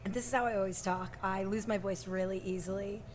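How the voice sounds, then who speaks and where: A woman with a scratchy, smoky voice talks easily in a bar, laughing now and then. Her pitch sits in a middle range. Faint bar noise hums behind her. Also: fairly even intonation, fairly quick, darkish tone. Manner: laughs often, easygoing. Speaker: guest, exercise instructor. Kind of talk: casual bar conversation. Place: bar and restaurant.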